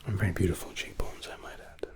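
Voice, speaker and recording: A man performing a whispered ASMR spa roleplay as a therapist, gentle, soothing and softly reassuring. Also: quick pace.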